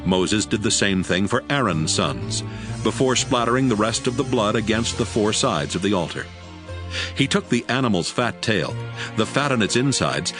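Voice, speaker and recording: A man reading scripted scripture narration for an audiobook in a measured, solemn way. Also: on the low side. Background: music.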